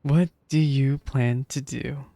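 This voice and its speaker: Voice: medium pitch, steady tone, slow pace, mellow, dry recording. A streamer giving casual live reaction commentary while following a game's story.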